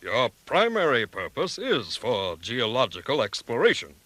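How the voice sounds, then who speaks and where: A man's voice: medium pitch, wildly swooping pitch, moderate pace, a bit bright, no echo. An actor playing an authoritative, formal male mission director in a 1970s audio drama, speaking with weight. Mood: grave, formal. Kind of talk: 1970s full-cast audio drama acting.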